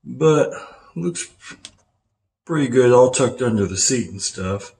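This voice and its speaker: A man's voice: medium pitch, animated, takes it slowly, creaky, a little mellow. A middle-aged man hosting a casual hands-on car audio vlog, thinking aloud as he goes. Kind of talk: casual hands-on install and review talk. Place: garage, car and house interior. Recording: roomy echo.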